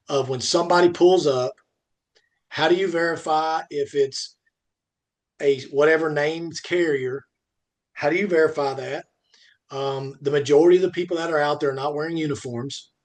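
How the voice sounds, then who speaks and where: A man with a fairly high voice co-hosts a casual industry discussion. He rambles through his points, circling back and repeating phrases to press them home. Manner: rambling, emphatic with repetition. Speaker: podcast co-host.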